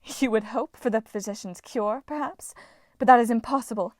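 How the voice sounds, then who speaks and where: A woman voicing a furious, grandiose goddess of victory caught in a net, in a storybook reading, with shrill, booming proclamations. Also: normal speed, no echo, studio quality, lightly acted. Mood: ominous.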